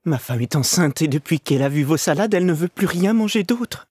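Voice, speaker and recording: A man playing a timid, devoted young husband in an acted storybook tale, with a husky, breathy voice. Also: on the high side, lively intonation, brisk, darkish tone, no echo, studio quality. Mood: fearful, pleading. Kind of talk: storybook audio drama acting.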